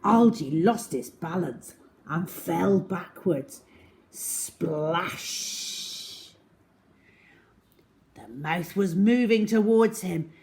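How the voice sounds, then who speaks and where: A woman narrating a children's story in an expressive, scripted read-aloud style. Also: lowish voice, extremely slow, long pauses.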